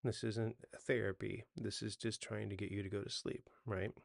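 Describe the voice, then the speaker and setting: Man, 40s, low gravelly voice, dry close sound, relaxed unscripted coach explaining tips and checking in with "right?". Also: moderate pace, dry recording. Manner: conversational, self-correcting, often checks in with "right?". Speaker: hypnotist and coach giving sleep advice. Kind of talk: unscripted how-to talk to camera.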